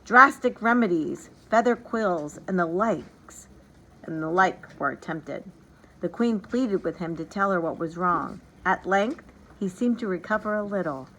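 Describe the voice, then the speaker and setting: A woman narrating a storybook aloud in an expressive, dramatic and deliberate way. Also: lowish voice, poor audio. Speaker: teacher reading a storybook aloud.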